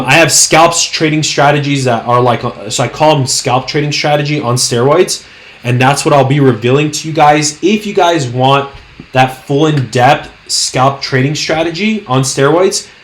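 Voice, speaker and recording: A young man talks through stock charts in a loose, excitable way, piling up slang and fillers. His voice is hoarse and worn, as if he is losing it, and sits at an ordinary middle pitch. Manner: hyped, slangy, rambling with fillers. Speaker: trading channel host. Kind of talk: casual screen-share market commentary.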